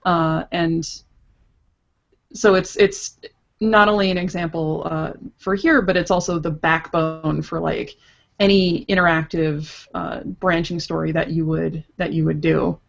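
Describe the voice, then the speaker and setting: A woman's voice: low-pitched, fairly even intonation, a little slow, gravelly, lo-fi sound. A woman guest explaining things casually over an internet video call. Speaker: guest interviewee, visual novel writer.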